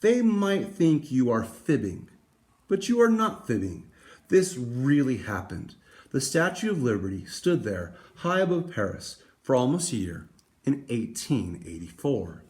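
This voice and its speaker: A man hosting a read-aloud for schoolchildren, speaking in a deliberate storytelling way to young listeners. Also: medium pitch, very animated, a little mellow. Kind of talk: expressive children's picture book read-aloud.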